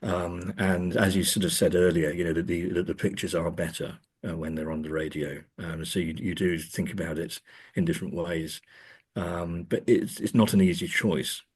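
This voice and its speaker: A man's voice: sits low, fairly even intonation, moderate pace, a little mellow, slightly rough. A man speaking as an academic interview guest, thoughtful and hesitant, often repeating words as he forms his ideas. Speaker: academic guest, professor and author. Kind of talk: conversational interview answers.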